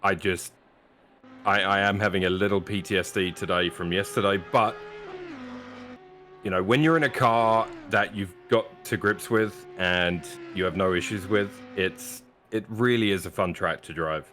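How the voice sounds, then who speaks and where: A man commentating a live sim race with relaxed, self-deprecating banter. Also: low-pitched, normal speed, slight background noise.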